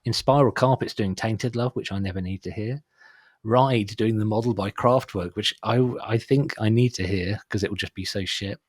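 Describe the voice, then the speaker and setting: Middle-aged male podcast host, husky and fairly low, dry close sound, wry and offhand. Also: normal speed, no echo. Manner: wry, dismissive asides on cover songs. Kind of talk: casual chat.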